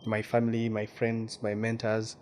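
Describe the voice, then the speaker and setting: A man's voice: fairly low pitch, monotone, moderate pace, darkish tone, slight background noise. A man from Western Uganda with a Ugandan accent, speaking as a guest journalist in a casual interview and often repeating words while he searches for them.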